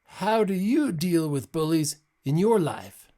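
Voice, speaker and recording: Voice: mid-range voice, lively intonation, slow pace, mellow. A lesson presenter reading scripted discussion questions aloud.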